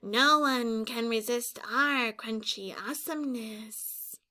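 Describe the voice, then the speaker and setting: A woman's voice: a little high, slow pace, pushed and bright, voice pushed down. A woman voicing pompous, boastful dinosaur-shaped chicken nuggets in a storybook, in a declamatory manner. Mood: haughty.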